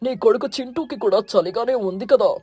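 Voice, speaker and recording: An actor voicing a commanding, protective eagle king in a children's cartoon story, solemn, authoritative and husky. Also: medium pitch, normal speed, mellow, no room sound, lightly acted. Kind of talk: animated children's moral story.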